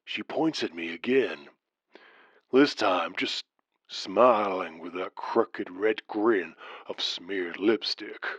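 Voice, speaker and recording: A man performs a haunted male caller in a horror story. His voice is fairly low and very dry, with no room around it. He confides in a halting, uneasy way. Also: a bit bright, dry recording, highs cut off, heavily acted. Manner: halting, uneasy confessional telling. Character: haunted male radio caller recounting demonic encounters.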